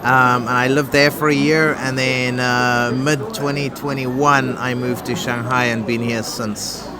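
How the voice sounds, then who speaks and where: A man's voice: medium pitch, little pitch movement, measured pace. A man from South Africa answering a street interview, friendly and rambling, with many fillers. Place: busy city street outdoors. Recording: busy street crowd behind.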